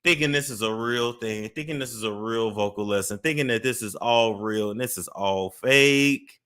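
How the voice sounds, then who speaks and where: A man's voice: medium pitch, moderate pace, sharp tone, clear, resonant tone. A young man hosting a gossip commentary show, laughing and mocking the clips he reacts to. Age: young adult. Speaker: commentary host.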